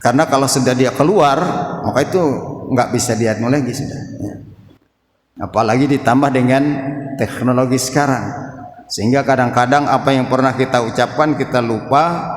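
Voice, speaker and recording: A man preaching a sermon, explaining point by point in deliberate phrases with Arabic recitation. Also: mid-range voice. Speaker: Islamic preacher. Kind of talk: sermon, dawn mosque lecture. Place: mosque hall. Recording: echoey hall, long reverb.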